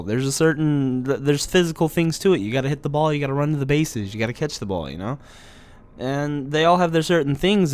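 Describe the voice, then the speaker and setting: A young man with a nasal, stuffy voice from allergies, giving a blunt, opinionated casual rant as a gaming vlogger. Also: mid-range voice. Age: young adult. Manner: blunt, opinionated, many "you know"s.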